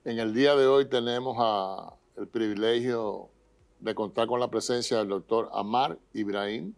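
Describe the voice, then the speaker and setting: A man co-hosting a health talk show, introducing topics in a steady, listing way. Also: fairly low pitch, moderate pace, vocal fry. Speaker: co-host, physician.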